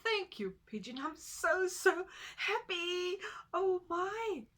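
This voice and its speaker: A woman voicing a sad little female tortoise in a storybook, high and pleading. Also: big pitch swings, slow, a bit bright, exaggerated voice. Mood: joyful.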